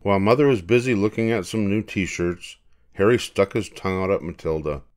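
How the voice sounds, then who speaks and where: Older male storybook narrator, deep register, reading for children. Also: fairly quick.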